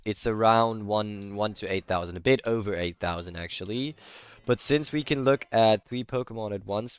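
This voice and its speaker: Deep, clean-voiced German-accented man streaming. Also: clear, resonant tone, no echo, dull audio. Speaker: livestreamer playing a video game. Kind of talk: casual chat, replying to stream comments.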